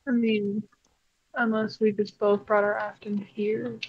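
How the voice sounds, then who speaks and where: A woman voices a guarded, teasing female puppet in a game roleplay. The delivery is deadpan and terse at an average pitch, through a slightly dull lo-fi mic. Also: measured pace, close to an everyday voice. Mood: guarded, contrary. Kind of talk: improvised roleplay over gameplay chat.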